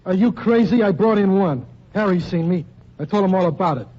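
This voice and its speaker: Man acting as a slangy tough gangster, higher voice, dark tone, old radio play, dull hissy sound. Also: a little fast. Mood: defensive. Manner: blunt street slang and ungrammatical speech. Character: tough, defensive male nightclub gangster. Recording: dull lo-fi 1940s radio recording, faint hiss.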